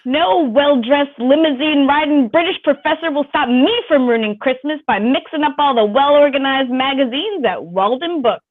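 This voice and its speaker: A woman voicing an over-the-top villain in a dramatic table read, drawn-out and theatrical. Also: high-pitched, expressive, narrow, tinny audio. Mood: gloating menace. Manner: melodramatic, drawn-out.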